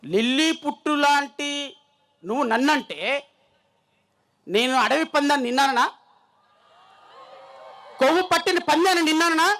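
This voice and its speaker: A man's voice: very high voice, moderate pace, long pauses, bright, forceful tone. A man with an Andhra Telugu accent delivering a fiery political speech from a stage, combative and threatening, hammering home phrases by repeating them. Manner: combative, threatening, emphatic repetition. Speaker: political speaker at a rally.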